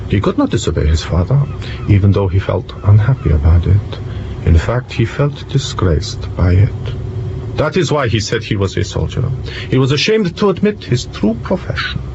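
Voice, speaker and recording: A man playing a polite, precise young gentleman detective in an audio drama, courteous and measured. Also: lowish voice, sing-song, gravelly. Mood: calm, confident. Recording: muffled, noisy vehicle ambience behind.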